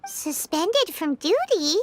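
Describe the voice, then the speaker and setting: A tiny fairy sidekick from a video game, with an extremely high, squeaky, childlike voice. The delivery is perky, blunt and very animated, with music playing behind. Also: female voice, slow, full character voice. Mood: surprised, excited. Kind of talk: video game character dialogue.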